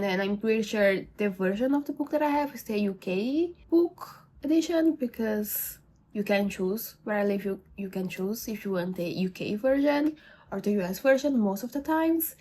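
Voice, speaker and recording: A woman's voice: medium pitch, animated, normal speed, dark tone. A woman whose first language is not English, giving a casual, rambling book review with many fillers and self-corrections. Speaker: book vlogger.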